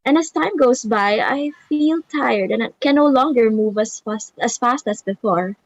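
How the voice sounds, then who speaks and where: A woman playing a tired, aging ant who guides the young, in a slow, reflective dramatic reading. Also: fairly high pitch, no room sound, highs cut off. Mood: weary, troubled. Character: weary aging female ant, slowing down, guiding the young. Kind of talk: dramatic reading of a stage play.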